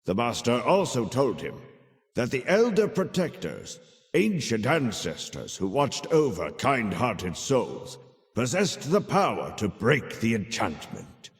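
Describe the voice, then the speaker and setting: A kindly older male storyteller, spins a fairy tale for a game. His delivery is unhurried and theatrical, with lively swings of pitch. Long reverb hangs around the words. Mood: measured storytelling. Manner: theatrical, measured, animated. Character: kindly old storyteller telling a child a tale. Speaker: storyteller narrator. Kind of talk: dramatic fairy-tale narration.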